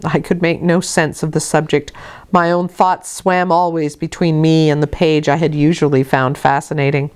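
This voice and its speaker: A woman reading a literary novel aloud as expressive narration, with measured, deliberate phrasing. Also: low-pitched, clean tone. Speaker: audiobook reader.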